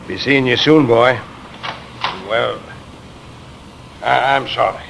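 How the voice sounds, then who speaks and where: An actor playing a gruff but kindly police officer, hesitant and consoling, in 1950s radio drama style. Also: male voice, mid-range voice, fairly even intonation, takes it slowly, fairly bright tone, a little hiss or hum, low-quality recording. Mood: awkward sympathy. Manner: hesitant, plain-spoken, consoling.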